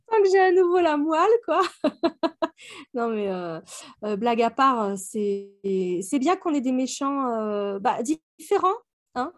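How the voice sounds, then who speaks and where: A woman in relaxed, informal fan discussion as a book club co-host, speaking slangily. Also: sits high, takes it slowly, long pauses. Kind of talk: casual chat, fan discussion.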